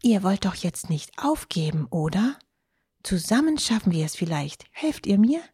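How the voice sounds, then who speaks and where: A woman's voice: medium pitch, sing-song, moderate pace, mellow, slightly rough, dry recording, studio quality. A woman voicing a small, curious blue female fox in a storybook. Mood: coaxing.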